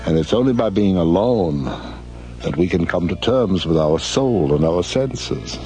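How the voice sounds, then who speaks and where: An actor playing a courteous, brooding mortician villain in an audio drama, speaking in a florid, measured, theatrical way. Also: male voice, sits low, animated, mellow, faint music behind. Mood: brooding, lofty.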